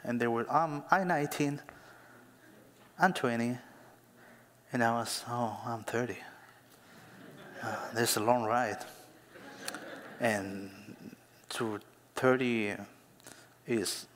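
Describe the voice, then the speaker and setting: Slow-preaching pastor in a reverberant hall. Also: male voice, medium pitch, a little hiss or hum. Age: 30s. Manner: slow and emphatic, building to louder exhortation. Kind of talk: sermon. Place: church hall.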